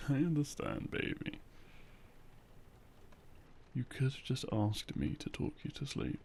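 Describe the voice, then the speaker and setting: A man playing a loving, gently teasing boyfriend in an intimate ASMR roleplay, speaking softly and close to a binaural microphone. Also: medium pitch, takes it slowly, gravelly. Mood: tender, reassuring. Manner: soft, hushed, close and coaxing. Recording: close binaural recording, faint noise.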